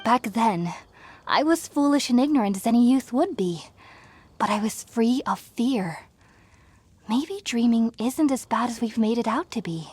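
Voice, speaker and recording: A woman playing an earnest, gentle young researcher in a video game, explaining things in a thoughtful, articulate way. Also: on the high side, lively intonation, unhurried, breathy edge. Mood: wistful wonder. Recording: music behind the voice.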